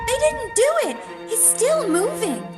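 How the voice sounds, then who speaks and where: A timid B-movie damsel acted by a woman, very high and swooping with earnest melodrama. Loud music plays behind this amateur radio spoof. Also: huge pitch swings, measured pace, full character voice. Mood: frightened. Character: young female assistant, timid B-movie damsel.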